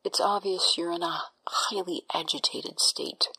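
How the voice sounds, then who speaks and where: A woman playing a doctor in an audio drama, breathy, soothing and clinical, with a patronizing, unsettling edge. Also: fairly low pitch, steady tone, measured pace, sharp tone, full character voice, poor audio. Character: calm, smoothly reassuring doctor with an unsettling edge.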